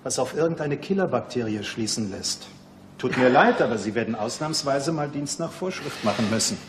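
A man acting in a German radio play as a brisk, wry police doctor. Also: mid-range voice, animated, background noise, natural voice.

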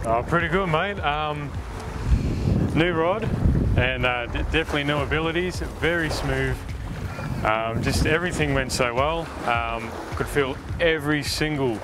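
A man chatting casually on a beach, talking in a halting, hesitant way. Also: fairly high pitch, very noisy. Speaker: fishing companion. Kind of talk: casual chat.

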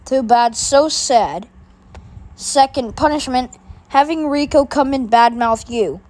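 A woman's voice: sits high, takes it slowly, darkish tone. A woman voicing a bossy older boy who gloatingly announces punishments in a homemade skit.

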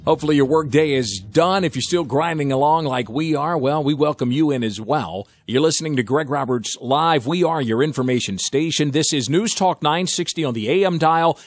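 Upbeat talk radio host, dry studio sound. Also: male voice, fairly high pitch, normal speed, few pauses, no room sound. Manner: animated, folksy, stumbles and repeats words. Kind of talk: energetic radio talk show. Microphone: studio microphone.

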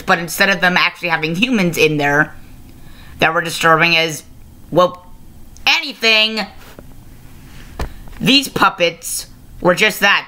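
A young adult giving a casual video review to camera, halting and often self-correcting, recorded on a webcam mic in a small room. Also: mid-range voice, sharp tone. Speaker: review show host. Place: small plain-walled room indoors. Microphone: laptop webcam microphone at arm's length.

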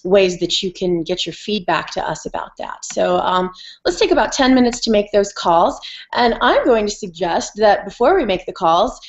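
A woman's voice: medium pitch, lively intonation, moderate pace. A woman in her thirties hosting an online town hall, rambling with lots of fillers, from a room at home through a laptop mic. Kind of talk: hosting a video call. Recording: dull lo-fi video call sound.

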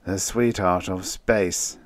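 A man's voice: fairly low pitch, unhurried, no echo, lightly acted. A man voicing a weary, bitter outpost spaceman with a dull, sardonic manner in a story.

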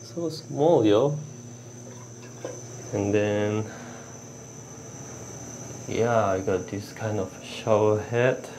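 A man's voice: fairly low pitch, very slow, long pauses, rough recording. A man vlogging casually with a handheld camera, talking loosely with many fillers, first in a hotel room and then out on a city street. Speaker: travel vlogger. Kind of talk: casual walking vlog. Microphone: handheld camera on small tripod. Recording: loud street and room noise.

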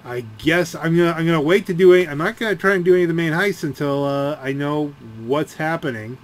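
A man giving casual, rambling gameplay commentary, halting as he thinks aloud. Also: fairly high pitch. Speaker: gaming video creator.

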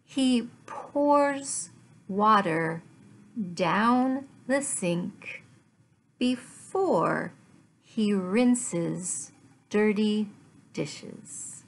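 Very slow-speaking woman teacher with creaky voice. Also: mid-range voice, expressive. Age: middle-aged. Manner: deliberate, enunciating word by word in short phrases with pauses. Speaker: English teacher. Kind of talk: slow language lesson for learners. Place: small living room at home, indoors.

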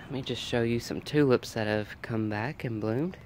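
A man's voice: medium pitch, moderate pace, a little mellow, slight background noise. A man with a nasal, allergy-congested voice chats casually as he films a walk through his backyard garden. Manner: chatty, thinking aloud while filming. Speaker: garden vlogger.

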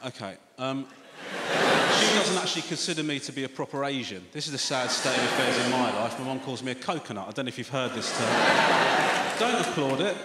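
A man, doing an animated stand-up set on a theatre stage with a handheld mic, confiding and swearing freely. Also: mid-range voice, a little fast, over a noisy crowd, very noisy. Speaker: stand-up comedian. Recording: echoey hall with loud audience laughter.

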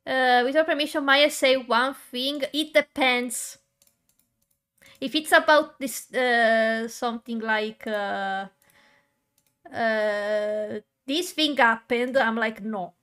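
A young woman chatting on stream in an unhurried, drawn-out way, her voice sitting a little high. She stutters and restarts often, filling gaps with uh and um as she talks casually to her viewers. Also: fairly bright tone, clear, resonant tone. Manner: stutters, many fillers and restarts. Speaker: VTuber streamer. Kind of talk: casual live-stream chat while coloring.

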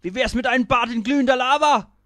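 A man voicing a rude, hot-tempered bronze alien who shouts insults in a storybook reading. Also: very high-pitched, fast, dry recording, studio quality, voice pushed up. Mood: outraged.

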